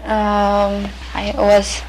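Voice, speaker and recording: A woman from Romania, a native Romanian speaker with a Romanian accent, talking about herself in English in a hesitant, halting way with many pauses and fillers. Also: mid-range voice, completely flat delivery, a little mellow, a little hiss or hum, rough recording. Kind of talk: unscripted personal monologue for a listening exercise.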